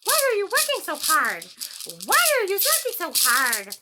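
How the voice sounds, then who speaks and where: Woman voicing a high-pitched, excitable storybook grasshopper. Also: normal speed, heavy background noise, full character voice. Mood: carefree teasing. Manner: sing-song, exclamatory, repeating himself. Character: carefree, teasing male grasshopper in a children's fable.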